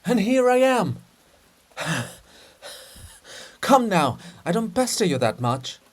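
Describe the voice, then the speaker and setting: A man's voice: high voice, big pitch swings, slow pace, pitched above the performer's own voice, full character voice. A man voicing a scheming, fussing male statue of a statesman in a play reading, with a wheedling, explanatory way of talking. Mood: wheedling, placating.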